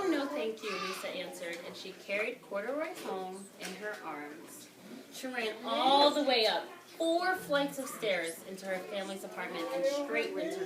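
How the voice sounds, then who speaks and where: Lady preschool teacher talking simply to little kids, echoey classroom, way off from the phone mic. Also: on the high side. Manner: simple, instructive phrasing for small children, with repetition. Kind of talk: reading a picture book aloud and questioning young children. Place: classroom, indoors. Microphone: phone camera at a distance. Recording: roomy, distant phone video, loud background noise.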